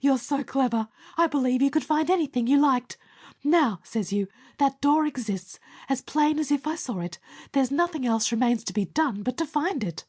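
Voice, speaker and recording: A woman's voice: sits high, expressive, moderate pace, darkish tone, voice pushed up. A woman voicing a small, eager male mole who gushes praise in a breathless rush, in a storybook reading. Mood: gushing admiring. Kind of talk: audiobook character voice.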